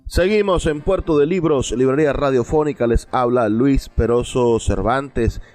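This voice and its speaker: A man's voice: medium pitch, normal speed, a little mellow, soft background music. A man with a Venezuelan accent presenting a radio show, using emphatic, repeated phrasing as he addresses listeners. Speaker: radio host.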